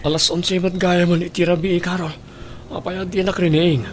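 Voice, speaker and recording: A man's voice: high-pitched, flat delivery, moderate pace, over music, close to an everyday voice. A man playing a secretive, unfaithful husband in an audio drama, earnest and placating. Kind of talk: Ilocano audio drama acting. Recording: noticeable background noise.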